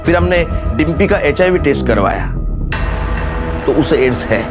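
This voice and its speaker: An actor playing a stern, matter-of-fact investigator in a TV crime drama. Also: male voice, fairly high pitch, lively intonation, normal speed, creaky, music in the background.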